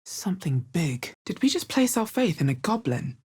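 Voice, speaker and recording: Video game adventurer woman, husky, lowish pitch, wary, dry, measured, fantasy spellcaster. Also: very animated, a little mellow, heavily acted. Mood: wary, observant. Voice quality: husky, bass-heavy. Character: guarded, low-voiced adventurer woman, player hero who casts spells.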